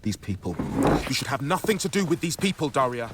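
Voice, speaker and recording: A man's voice: mid-range voice, sing-song, fairly quick, very noisy, lightly acted. An actor playing a blunt man who speaks in curt, menacing lines in a radio drama. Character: blunt, threatening, gruff young man.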